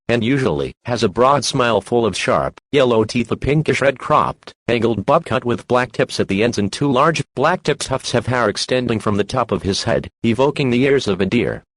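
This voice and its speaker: A man's voice: medium pitch, no room sound, poor audio. Formal male emergency alert announcer, dry sound.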